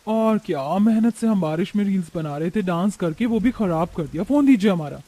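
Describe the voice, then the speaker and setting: A man acts a brazen young modern daughter-in-law in a family story. The voice is very high and theatrical, full of vanity. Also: animated, a little fast, warm tone, no room sound. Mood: defiant. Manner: defiant, theatrical.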